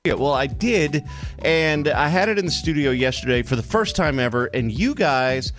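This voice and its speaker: A man's voice: on the high side, expressive, normal speed, fairly bright tone, faint music behind. A man hosting a radio show, sick and coughing, chatting casually and rambling. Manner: rambling, joking, quotes others in put-on voices. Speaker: radio host. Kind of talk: casual studio chat.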